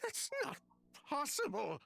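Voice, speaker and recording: A man's voice: extremely high pitch, huge pitch swings, a little slow, vocal fry, over a noisy crowd, heavily acted. A man voicing a distraught, desperate brother in a video game, crying and in shock. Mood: grief-stricken disbelief.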